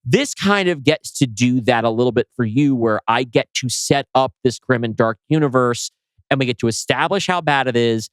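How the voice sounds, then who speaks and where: A man's voice: mid-range voice, expressive, normal speed, clean tone, no echo. Man chatting casually, close dry sound. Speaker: podcast co-host. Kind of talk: casual chat about scriptwriting.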